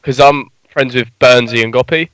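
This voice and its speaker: A man's voice: medium pitch, steady tone, moderate pace, a bit bright, dry recording, low-quality recording. A young man chatting casually on a gaming podcast, hesitant and hedging with frequent restarts. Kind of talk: casual esports podcast chat.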